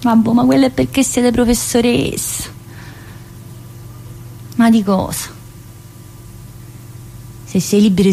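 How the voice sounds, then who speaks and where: Brash, needy female prisoner, high-pitched voice, southern Italian accent, colloquial dialect turns, theatrical monologue. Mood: irritated, scornful. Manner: colloquial, dialect-laced, addressing an unseen teacher.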